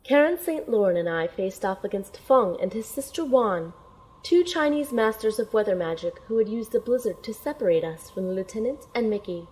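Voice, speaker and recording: A woman's voice: mid-range voice, animated, moderate pace, darkish tone, natural voice, rough recording. A woman playing an earnest young female agent in an audio drama, dramatically telling her own adventure. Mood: tense narration. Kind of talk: dramatic first-person narration and audio drama acting. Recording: clear background noise.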